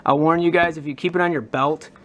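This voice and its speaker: A man's voice: on the high side, fairly even intonation, fast talker. A man presenting a prop modding demonstration in an informal, enthusiastic, casual explanatory way. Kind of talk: casual explanatory hobby vlog.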